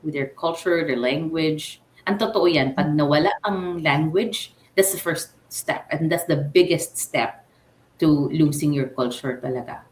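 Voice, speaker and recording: Female radio co-host with a very low voice; animated and self-deprecating; roomy, echoey recording. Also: normal speed, slight background noise. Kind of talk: radio interview conversation. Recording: roomy echo.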